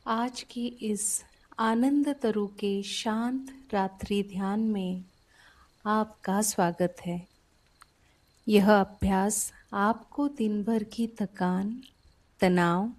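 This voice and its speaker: A woman softly guides a sleep meditation in a soothing, unhurried way, pausing between short phrases. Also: mid-range voice.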